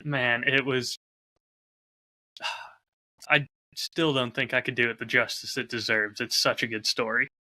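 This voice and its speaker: Guy podcaster just chatting, super bright punchy voice, candid and kinda hard on himself. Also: mid-range voice, level tone, measured pace, long pauses. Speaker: podcast host and audio drama creator. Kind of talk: casual chat.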